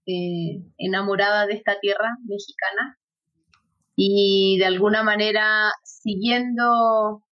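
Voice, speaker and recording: A woman raised in Ecuador, an interview guest, telling her story with frequent laughs and hesitant fillers. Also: medium pitch. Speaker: guest, actress and yoga instructor. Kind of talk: conversational interview. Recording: dull lo-fi audio.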